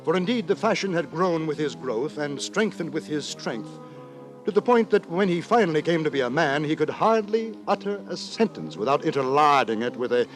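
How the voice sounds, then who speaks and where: A fussy, pompous gentleman narrator in a vintage radio play. His high, very animated voice swells with florid indignation. Music plays prominently behind him on the old recording. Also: moderate pace. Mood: aggrieved lamenting. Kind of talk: old-time radio drama acting.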